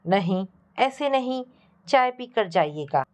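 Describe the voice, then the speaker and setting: A woman's voice: mid-range voice, animated, slow, darkish tone. A woman narrator voicing a polite, earnest young working man with formal courtesy in a family story. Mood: grateful.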